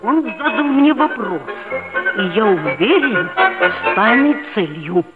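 A woman's voice: fairly high pitch, expressive, takes it slowly, phone-quality sound. An actress playing an earnest, proud seventh-grade schoolboy who narrates his own story in a 1960s radio play. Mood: matter-of-fact storytelling. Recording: thin band-limited old radio recording, music behind.

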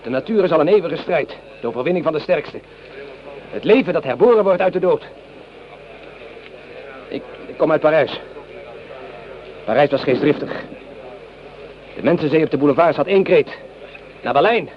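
A man's voice: on the high side, lively intonation, moderate pace, long pauses, close to an everyday voice. A man playing an idealistic, patriotic young bourgeois soldier in a Dutch radio drama, declaiming rhetorically. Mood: fervent. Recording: dull, noisy radio play recording.

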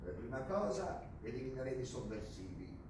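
A man's voice: medium pitch, big pitch swings, brisk, lightly acted. A male stage actor playing a menacing, boastful army captain of a dictatorship, pompous and scornful in a theatrical, declamatory way. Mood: haranguing. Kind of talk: theatrical stage drama acting. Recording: very echoey distant stage recording, noisy.

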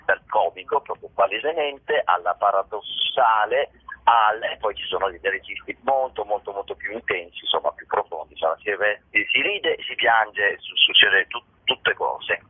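A man's voice: fairly low pitch, lively intonation, moderate pace, slightly rough. A man from Italy giving an informal, spontaneous interview as an actor, hesitating and repeating words as he searches for phrasing, over a narrow phone-like line. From Italy, province of Vicenza. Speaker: actor and playwright being interviewed. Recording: muffled, narrow telephone-line sound.

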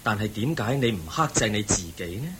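Calm patient buddha, hk cantonese accent, gentle teacher, hissy old recording. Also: male voice, on the low side, brisk. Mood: admonishing. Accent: Hong Kong Cantonese. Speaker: voice actor. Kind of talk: Cantonese radio drama acting.

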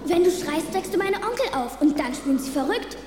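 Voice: very high voice, very animated, brisk. A performer voicing a friendly, playful ghost child in a chirpy, polite and reassuring way for an audio drama. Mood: pleading, reassuring. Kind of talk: German film audio drama dialogue. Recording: reverberant, noisy sound-effect mix.

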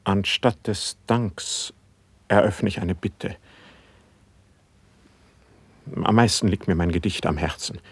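A brooding, humble yet insistent poet in a classic verse radio drama. The voice is low and husky, recorded very dry. The lines are declaimed with solemn, formal fervor. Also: male voice, unhurried, no echo, exaggerated voice. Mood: humble, pleading.

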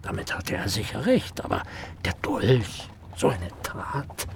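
Radio drama: ingratiating, opinionated literary gentleman, very lively inflection, lightly noisy recording. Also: fairly low pitch, unhurried, gravelly, exaggerated voice. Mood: conspiratorial. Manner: pompous, rhetorical, swinging to muttered asides. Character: Herr Hund, opinionated, ingratiating literary man, slyly malicious. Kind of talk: German radio play acting.

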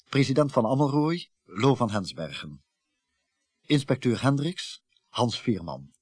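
A man's voice: medium pitch, darkish tone, breathy edge, no room sound, studio quality. A man reading scripted closing credits as a radio announcer.

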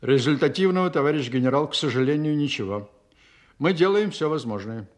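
A man acting an earnest, stubborn Soviet counterintelligence officer in a Russian audio drama, measured, formal and respectful to superiors. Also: fairly high pitch, animated, lightly acted. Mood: subdued, dutiful.

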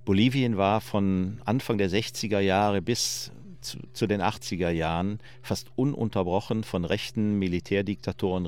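A man speaking as an expert, explaining in an unscripted, slightly halting documentary interview style. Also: sits low, darkish tone. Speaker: expert commentator.

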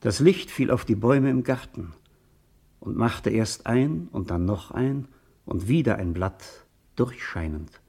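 A man narrating a lyrical literary radio play in a contemplative manner, with a husky voice. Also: medium pitch, lively intonation, unhurried, warm tone, exaggerated voice.

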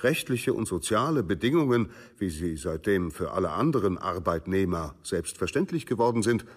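A man's voice: deep voice, big pitch swings, normal speed, husky, in a large hall. A man reading scripted literary narration for a radio play.

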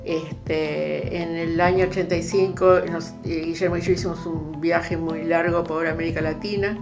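Low-voiced older Argentine woman, guitar behind. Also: steady tone. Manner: halting, searching for words. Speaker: interviewee, popular radio producer. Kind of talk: reminiscing interview talk.

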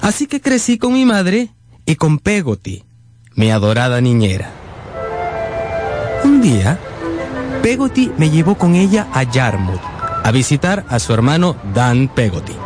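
An actor playing a young man narrating his own life story in an expressive radio drama style. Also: fairly high pitch, measured pace, pauses often, music in the background. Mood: earnest storytelling.

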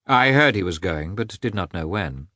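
A courteous, reserved gentleman from a classic novel, read by a male narrator, terse and a little reluctant. The tone is bright and the recording very dry. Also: low voice, expressive, normal speed, no echo, exaggerated voice. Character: reserved, polite gentleman, brief and reluctant in answering. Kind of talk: audiobook character voice in a classic novel.

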